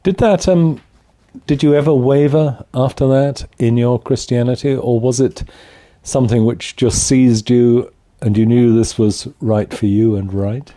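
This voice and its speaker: Male interviewer, super mellow dark voice, kinda halting polite questions. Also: medium pitch, fairly even intonation.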